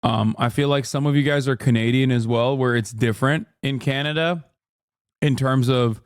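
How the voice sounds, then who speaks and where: A man's voice: mid-range voice, fairly even intonation, moderate pace, clean tone, no echo, clean studio sound. A man from the United States giving casual, rambling live-stream commentary, sweary and exasperated. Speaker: streamer reacting to a video.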